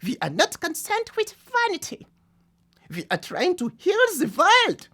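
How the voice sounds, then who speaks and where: Imperious, wildly swooping grandiose scientist. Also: female voice, very high voice, wildly swooping pitch, measured pace, sharp tone, exaggerated voice. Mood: cold disdain. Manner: lofty, dismissive, speechifying. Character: imperious, grandiose scientist leading a research lab. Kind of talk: live radio drama acting.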